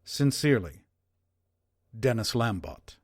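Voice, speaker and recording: A man's voice: mid-range voice, animated, slightly rough, no room sound, clean studio sound. Man acting an earnest, genteel old-time theater owner. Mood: solemn pleading. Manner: formal, measured, wistful. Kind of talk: dramatic reading of a letter.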